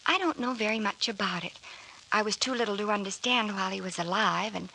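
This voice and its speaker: A woman's voice: mid-range voice, brisk, vocal fry, bright, forceful tone. An actress playing an earnest, hesitant young woman telling her story politely in an old-time radio drama. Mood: wistful. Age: young adult. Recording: noisy old radio recording.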